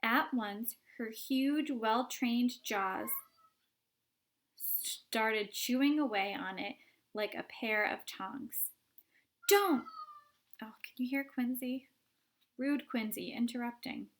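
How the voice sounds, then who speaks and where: A woman reading a children's book aloud at home, slow and expressive, with chatty asides. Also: a little high. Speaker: reader.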